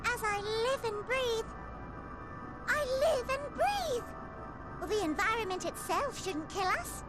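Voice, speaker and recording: An actress playing a small, upbeat woman scholar who directs her companions, with a bright, chirpy delivery. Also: very high voice, animated, a little slow, over music. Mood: eager. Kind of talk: game cutscene voice acting.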